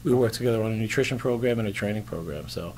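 A man's voice: lowish voice, racing, darkish tone. A man in his forties with a New York accent, giving casual, clipped interview answers as a coach. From New York, United States. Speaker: fitness coach, interview guest. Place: indoor backdrop set at a competition venue. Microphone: interviewer's handheld microphone. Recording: noisy event venue ambience.

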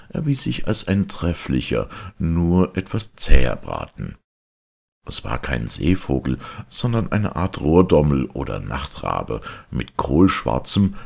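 A man reading a literary audiobook as a narrator, with measured, dramatic storytelling delivery. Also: extremely low pitch, vocal fry, darkish tone, slightly rough. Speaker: audiobook reader.

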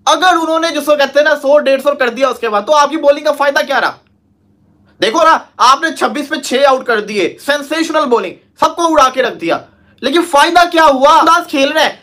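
Bright, high-voiced scornful cricket pundit man. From Pakistan. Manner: scornful, rhetorical questioning. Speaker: cricket commentator. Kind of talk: cricket analysis talk show.

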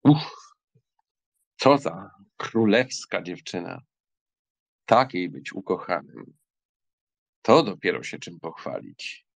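A man plays an insolent, lovestruck count in a dramatized novel. His voice is husky and low-set, slow in pace, swinging widely in melody with bold theatrical stubbornness. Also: very animated, no room sound, heavily acted, rough recording. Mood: brooding, infatuated. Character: arrogant German count, impetuous, infatuated, stubborn suitor. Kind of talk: audiobook drama reading.

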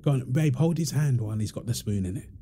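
A man's voice: medium pitch, animated, fast talker, dark tone, a little hiss or hum, lightly acted. A man playing a playful, doting young father in an ASMR roleplay, teasing and affectionate with his family. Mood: gentle, coaxing. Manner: teasing, affectionate, laughing easily. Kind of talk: ASMR roleplay, improvised casual talk.

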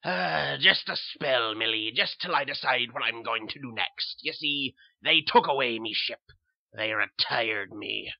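A man voicing a gruff, bullying old retired sea captain who barks orders in salty seaman's slang. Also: medium pitch, measured pace, dry recording, lo-fi sound. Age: older. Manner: bellowing pirate-style seaman's talk.

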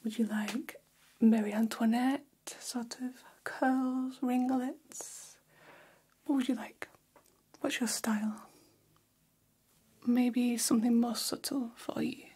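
A woman in her thirties playing a friendly, gossipy vampire hairdresser in a soft, unhurried, breathy ASMR whisper, close to the microphone. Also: on the high side, steady tone, exaggerated voice. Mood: attentive, soothing. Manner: soft, unhurried, chatty, attentive. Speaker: ASMR performer. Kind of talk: whispered ASMR salon roleplay. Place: small decorated indoor room.

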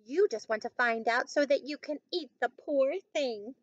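A woman's voice: high-pitched, moderate pace, no room sound, dull audio, voice pushed up, lightly acted. A woman voicing a blunt, distrustful little forest animal in a children's storybook. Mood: suspicious. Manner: blunt, suspicious.

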